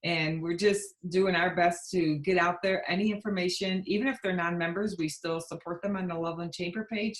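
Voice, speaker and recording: A woman hosting a chamber webinar over a video call, friendly and plain as she announces events. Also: fairly low pitch. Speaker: chamber of commerce host. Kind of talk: webinar wrap-up.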